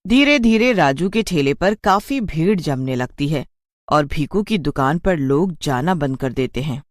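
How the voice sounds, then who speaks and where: An expressive narrator tells a scripted cartoon tale. The pitch is moderate but moves around a great deal from phrase to phrase. The recording is dry, with no audible room. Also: measured pace, no room sound. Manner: expressive storytelling.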